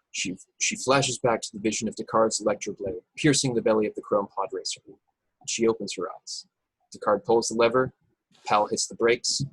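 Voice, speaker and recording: A man narrates stage directions for an action-packed script in a husky, fairly low voice. The sound is close and dry, as he reads the scenes out at a remote table read. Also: fairly bright tone, no room sound, rough recording. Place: indoors, remote video call table read.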